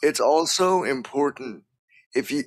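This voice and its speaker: A man guesting on a casual chat, a freelance voice actor with an everyday mid-pitched voice. He talks haltingly, restarting phrases and leaning on "you know". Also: expressive.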